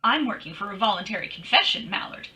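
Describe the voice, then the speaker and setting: A woman's voice: medium pitch, lively intonation, quick pace, sharp tone. A woman playing a bold, wisecracking private detective in an old-time radio drama, with a breezy, teasing, wry delivery. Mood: bossy, playful. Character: bold, wisecracking female private detective narrating her case.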